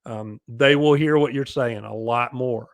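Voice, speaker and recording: A middle-aged man speaks as a guest advising parents in an interview. His voice is a booming bass-baritone, recorded dry. He talks conversationally, pausing and doubling back on words as he explains. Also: no echo.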